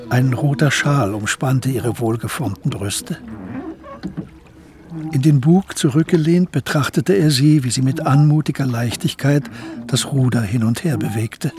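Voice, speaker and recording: A man narrating a radio drama in a measured, descriptive, literary storytelling style. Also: medium pitch.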